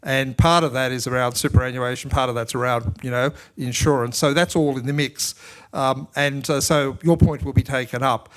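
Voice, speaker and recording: Middle-pitched man running a panel Q&A, casual and a little stumbling. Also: fairly even intonation, moderate pace, fairly bright tone. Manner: conversational, stumbling restarts. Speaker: commissioner, panel moderator. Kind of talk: panel moderation. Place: public forum hall.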